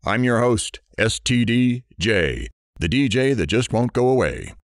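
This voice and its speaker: A man's voice: mid-range voice, very animated, unhurried, no echo, studio quality. An actor playing a brash, sarcastic morning radio DJ with irreverent showman banter in an audio drama. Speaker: radio DJ host.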